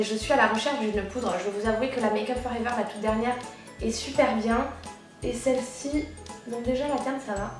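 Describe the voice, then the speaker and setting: A woman's voice: on the high side, recorded from a distance. A woman with a cold chatting casually as a beauty vlogger while she tests and applies makeup. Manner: chatty, rambling, frequent fillers. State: congested with a cold. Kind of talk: casual makeup chat while applying products. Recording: very echoey room, off-mic, faint music.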